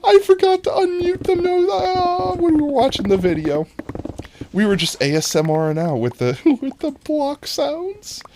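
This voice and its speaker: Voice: sits high, sing-song, normal speed, noisy background. An American game streamer from New York chatting casually in a playful, jokey, giggly manner. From New York, United States. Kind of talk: casual gaming stream chat.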